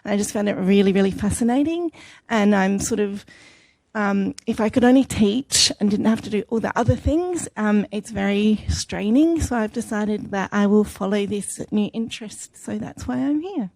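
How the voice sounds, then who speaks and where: A woman's voice: mid-range voice, normal speed, gravelly, a little mellow, no echo, rough recording. An Australian woman in her fifties introducing herself to a class in a chatty, self-deprecating way. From Adelaide, Australia. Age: 50s. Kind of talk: self-introduction at a welcome session.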